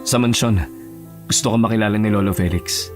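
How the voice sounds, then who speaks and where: A man's voice: fairly low pitch, fairly even intonation, a little fast, faint music behind, lightly acted. Man playing a tender young heir. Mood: reassuring. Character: young male lover, rich heir, tender and reassuring. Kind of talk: Tagalog audio drama acting.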